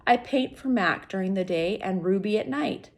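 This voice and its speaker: A woman's voice: mid-range voice, normal speed, slight background noise. A woman reading a children's novel aloud expressively, as a teacher does for students, speaking directly to young listeners.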